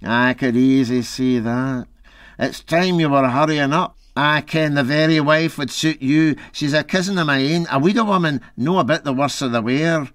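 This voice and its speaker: A man voicing a sharp, scornful, meddling Glasgow wife with a curt, disapproving manner, in a storybook reading. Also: on the high side, normal speed, no room sound, close to an everyday voice. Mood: pushy. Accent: Glasgow Scottish.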